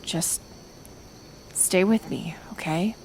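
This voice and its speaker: A woman acts out a kind but determined cottage healer in a hushed ASMR roleplay. Her voice is lowish with a crackly, frayed edge, and she takes her time, soothing and halting, often dropping to a whisper. Faint noise and night insects sit behind her. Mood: calm, careful. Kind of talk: intimate ASMR roleplay acting.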